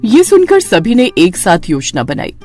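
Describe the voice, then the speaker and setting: A woman's voice: medium pitch, wildly swooping pitch, moderate pace, darkish tone, soft background music. A woman narrator reading a scripted horror story in a dramatic storytelling manner.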